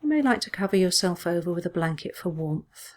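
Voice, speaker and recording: Female meditation teacher, slightly low voice, gentle measured delivery for a soothing guided body scan. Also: lively intonation.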